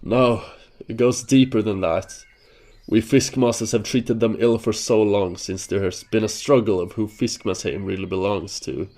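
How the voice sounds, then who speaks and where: Guy doing hurt proud bird creature, gravelly low voice, weak n full of regret. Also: steady tone, moderate pace, darkish tone. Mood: weary regret. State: weakened, in pain. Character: wounded proud male bird-like skyborn warrior, gruff and regretful. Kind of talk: fantasy audio drama acting.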